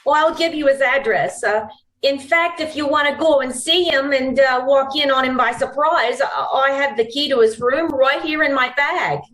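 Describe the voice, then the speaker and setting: A woman's voice: high voice, normal speed, a bit bright. A woman playing an anxious, hesitant young woman in trouble, in the style of a 1940s radio drama. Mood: earnest, pleading. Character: anxious, pleading young woman mixed up with crooks. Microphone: video call. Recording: echoey online-call recording.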